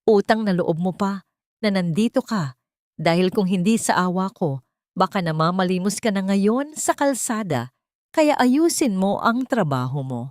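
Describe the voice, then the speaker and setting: A woman's voice: mid-range voice, animated, measured pace, a little mellow, no room sound, studio quality, natural voice. Haughty lady boss, scolding woman narrator's rendition. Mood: scornful, scolding. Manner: scolding, imperious.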